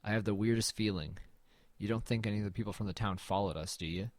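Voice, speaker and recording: A man playing an uneasy narrator in a horror audio drama, telling it in the first person in a measured, brooding way. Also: lowish voice, darkish tone, dry recording, close to an everyday voice. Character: uneasy male narrator exploring a dead man's house.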